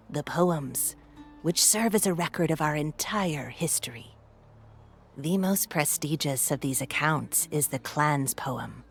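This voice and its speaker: An actor, a woman, playing a solemn clan elder and lorekeeper in a fantasy game, speaking in grave, measured storytelling. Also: low-pitched. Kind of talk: video game cutscene acting.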